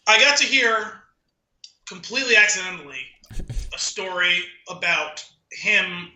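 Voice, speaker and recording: Man, very bright forceful voice, echoey room, podcast co-host, casual chat, swears freely. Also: high-pitched, lively intonation, unhurried. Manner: casually profane storyteller.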